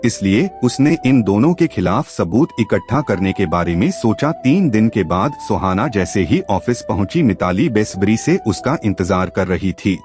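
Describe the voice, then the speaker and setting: Deep-voiced, expressive male storyteller. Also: moderate pace, hardly any pauses. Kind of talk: scripted audio story narration. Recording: music behind the voice. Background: background music.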